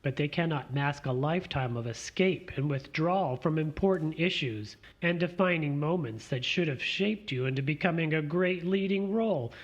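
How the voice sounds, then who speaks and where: A man's voice: fairly high pitch, moderate pace, few pauses, lo-fi sound, natural voice. A man playing a smug, needling partner in a radio play, taunting in a theatrical way.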